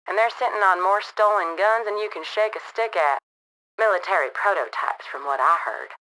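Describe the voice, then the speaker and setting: A woman's voice: fairly low pitch, pushed and bright, poor audio. A woman voicing a tough female gun-runner with a Texan accent, talking in a brash, folksy drawl for a video game. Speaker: quest giver.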